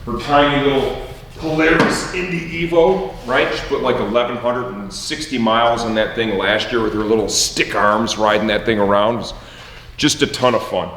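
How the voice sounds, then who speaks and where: A man's voice: medium pitch, steady tone, moderate pace, fairly bright tone, slight background noise. A middle-aged man, upbeat and joking, chatting casually with his son in a large garage workshop and asking him leading questions. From Michigan, USA. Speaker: host, team owner and father. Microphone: far camera, no mic seen. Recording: echoey, roomy garage sound.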